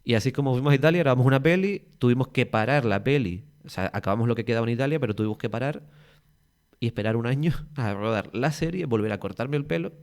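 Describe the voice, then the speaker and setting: A man's voice: mid-range voice, quick pace. A man from Tenerife with a Canary Islands Spanish accent, a guest actor telling long, rambling stories in a casual podcast interview. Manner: lively, rambling storytelling with many restarts.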